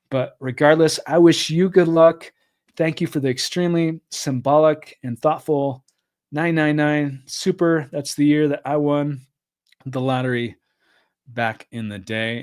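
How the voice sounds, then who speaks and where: A man's voice: mid-range voice, darkish tone. A middle-aged man hosting a live stream, reading viewer comments aloud and answering them in a friendly, thinking-aloud way. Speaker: podcast host reading live chat.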